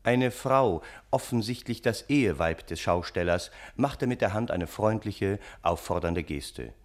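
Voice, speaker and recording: An actor playing a wry family friend who narrates the story in a radio play. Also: male voice, on the low side, expressive, unhurried. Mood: wry, descriptive.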